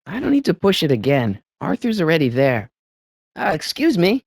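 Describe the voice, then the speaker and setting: Video game teen hero: earnest, anxious American boy, widely swinging pitch, dry close sound. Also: huge pitch swings, normal speed, no echo, full character voice. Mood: tentative, musing. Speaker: voice actor. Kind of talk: video game character voice acting.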